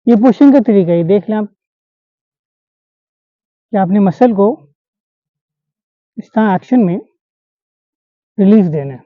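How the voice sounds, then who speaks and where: A man's voice: high voice, unhurried, long pauses, no echo. A man instructing a class, demonstrating pressure points on a volunteer and checking that the students follow. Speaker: acupressure instructor. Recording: dull lo-fi sound.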